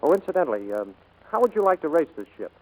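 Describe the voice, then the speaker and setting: An actor playing a kindly, earnest plane builder in 1940s radio drama, gentle and a little hesitant. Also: male voice, fairly high pitch, very animated, fast talker, rough recording. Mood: tender, persuasive.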